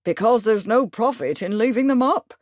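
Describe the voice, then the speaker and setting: A woman's voice: mid-range voice, lively intonation, unhurried, a little mellow, dry recording, lightly acted. Fussy old military man, pompous and long-winded, read by a woman narrator in a dry studio. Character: fussy older male retired major, pompous.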